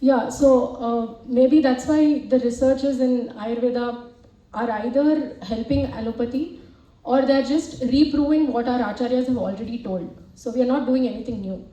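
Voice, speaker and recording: A woman's voice: on the high side, moderate pace, mellow. A young woman asking a lecturer a question from the audience, hesitant with many fillers. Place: lecture hall. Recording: echoey hall, distant mic.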